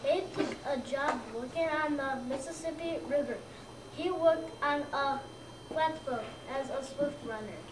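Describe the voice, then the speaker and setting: High-pitched child reading aloud, slowly. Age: child, about seven or eight. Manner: careful, halting read-aloud delivery. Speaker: second-grade student reader. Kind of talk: readers theater, reading a scripted narration aloud. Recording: roomy, distant mic, noisy background.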